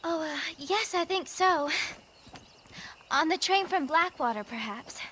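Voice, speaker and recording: An actress playing a frail, devout young woman in a video game, halting, dreamy and feverishly rapturous. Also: high-pitched, expressive, fairly bright tone, a little hiss or hum. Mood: dreamy, nervous. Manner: halting, dreamy, fervently pious. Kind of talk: video game dramatic acting.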